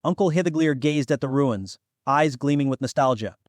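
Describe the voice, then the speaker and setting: A man's voice: on the high side, moderate pace, dry recording, clean studio sound. A man reading scripted fantasy fiction as an audiobook narrator.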